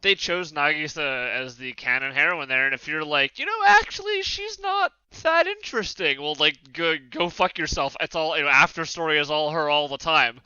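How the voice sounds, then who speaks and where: A man's voice: a little high, animated, moderate pace, few pauses, pushed and bright, clean tone, dry recording. A man hosting a casual podcast discussion, speaking bluntly and acting out imagined remarks as he goes. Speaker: podcast panel host. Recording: close, dry, slightly dull audio.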